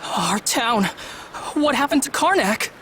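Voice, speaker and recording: A dazed, frightened young villager with a breathy, fragile delivery. The words come slowly and haltingly, with wide swings in pitch, in a game cutscene with ambience behind. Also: female voice, lively intonation, fairly bright tone, a little hiss or hum. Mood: alarmed. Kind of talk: video game cutscene acting.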